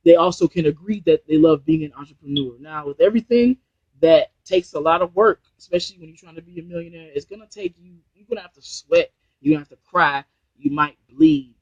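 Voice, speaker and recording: A man's voice: a little high, a little fast, dry recording, lo-fi sound. A man from New Jersey giving rambling, motivational business advice on a casual live stream over a Bluetooth headset. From New Jersey, USA. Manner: rambling, repeats and restarts phrases, motivational. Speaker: online business trainer.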